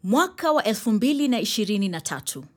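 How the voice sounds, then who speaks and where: A woman's voice: fairly high pitch, big pitch swings, fast. A woman reading scripted credits as an announcer.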